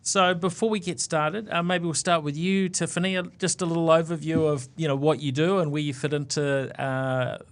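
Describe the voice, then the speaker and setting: A man's voice: fairly high pitch, fairly even intonation, normal speed, hardly any pauses. A man with a New Zealand accent hosting a podcast interview, casual and loose, with many fillers and restarts. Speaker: podcast host.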